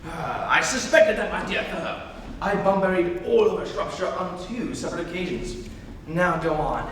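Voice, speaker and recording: A teenage male student playing a flippant, teasing young Victorian gentleman in a dramatic stage reading, with an arch, theatrical manner. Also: high-pitched, moderate pace. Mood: playful, prying. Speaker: student actor. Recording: distant, very echoey hall, noisy.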